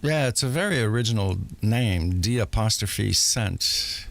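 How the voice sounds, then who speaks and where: A male radio host with a low, gravelly voice interviews a guest. He listens closely and fills the pauses with soft murmurs of agreement. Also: lively intonation, slight background noise. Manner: attentive, frequent murmured agreement.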